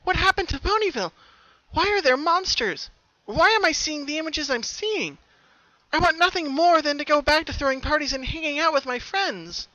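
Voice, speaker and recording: A man's voice: very high voice, animated, normal speed, pushed and bright, dry recording, dull audio, pitched above the performer's own voice, heavily acted. A man voicing a troubled young female pony in a story reading, earnest, hesitant and pleading.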